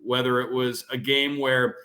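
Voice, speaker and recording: A man's voice: medium pitch, flat delivery, a little slow, sharp tone. A man who works as a play-by-play broadcaster, giving fluent, emphatic sports analysis as an interview guest and building points through repetition.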